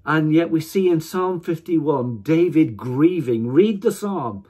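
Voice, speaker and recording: A man in his fifties giving an informal sermon to camera, speaking emphatically in deliberate, broken phrases. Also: a little high, lively intonation, warm tone, husky. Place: small attic room at home, indoors.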